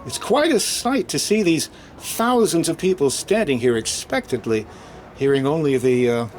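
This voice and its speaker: An actor playing an excitable, jovial male announcer giving live commentary on a parade in a 1950s-style radio drama. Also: on the high side, moderate pace, natural voice. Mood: hushed awe. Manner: chuckling, stammering ad-libs, theatrical announcing. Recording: crowd noise behind the voice. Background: outdoor crowd.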